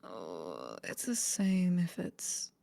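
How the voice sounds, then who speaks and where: A woman's voice: extremely low pitch, huge pitch swings, drawn-out words, vocal fry, warm tone. A woman playing a tabletop game, chatting casually and playfully muttering to herself. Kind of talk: casual chat during a game.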